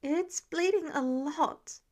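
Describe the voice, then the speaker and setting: A woman's voice: sits high, slow, voice pushed up. A woman voicing a caring female colleague who speaks with urgent concern in an audiobook. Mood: alarmed.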